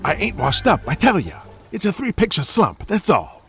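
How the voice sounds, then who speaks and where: High-pitched, brash has-been movie star. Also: male voice, huge pitch swings, normal speed, creaky, fairly bright tone, soft background music, full character voice. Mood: defensive, pleading. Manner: brash, foul-mouthed, pleading wise guy. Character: washed-up, cocky, excitable little male movie star. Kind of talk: comic audio drama acting.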